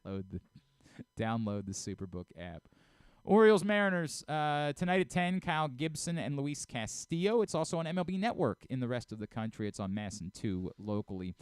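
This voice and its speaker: An American man with a clear, smooth voice at a middle pitch, hosting sports radio. He talks casually and slips into ad reads, recorded dry in a studio. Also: sing-song, fairly quick, dry recording. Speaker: radio show host.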